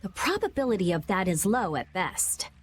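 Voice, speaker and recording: A woman's voice: medium pitch, big pitch swings, normal speed, slight background noise, natural voice. An actress playing a brainy, brusque teenage girl researcher in a video game. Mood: pondering. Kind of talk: animated video game acting.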